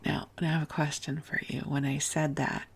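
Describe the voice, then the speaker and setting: A woman hosting a sleep podcast in a soft, hushed, soothing and gently rambling tone, deliberately lulling. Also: low voice, flat delivery. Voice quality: soft, hushed, breathy at phrase ends. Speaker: sleep podcast host and spiritual teacher.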